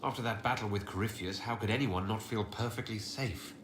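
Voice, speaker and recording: A resolute male leader as an actor voices him in a fantasy video game. His voice is on the low side, composed and formal, heard in an echoey space with faint noise. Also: fairly quick, fairly bright tone, natural voice. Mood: wry. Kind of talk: scripted video game dialogue.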